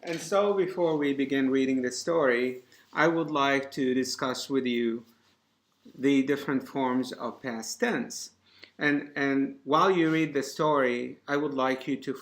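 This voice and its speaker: A man teaching a class, giving deliberate, encouraging instructions to students in an indoor room. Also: on the high side, vocal fry, a bit bright. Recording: echoey room.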